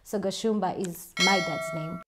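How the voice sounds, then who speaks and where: A woman's voice: lowish voice, steady tone, moderate pace, heavy background noise. A woman with a Ugandan accent, chatting casually and self-assuredly as she answers viewer questions in a vlog. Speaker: vlogger.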